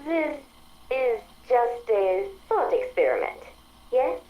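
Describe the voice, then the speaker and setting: A woman's voice: fairly high pitch, big pitch swings, slow pace, highs cut off. An actress playing an articulate female artificial intelligence that longs for a body, speaking precisely and formally in video game audio logs. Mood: probing, concerned. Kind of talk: dramatic video game audio log acting.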